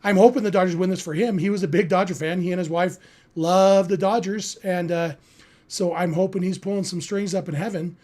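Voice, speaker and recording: Sports podcast host: American man, notably high-pitched voice, earnest, reflective, conversational live delivery. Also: fairly even intonation, fairly quick, mellow. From California, United States. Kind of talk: casual live sports talk, answering listener chat.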